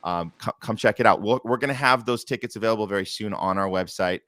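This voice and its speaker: A man's voice: fairly low pitch, fast talker, pushed and bright, dry recording, studio quality. An American man hosting an arts community show, chatting informally and enthusiastically and making announcements. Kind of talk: casual chat with announcements.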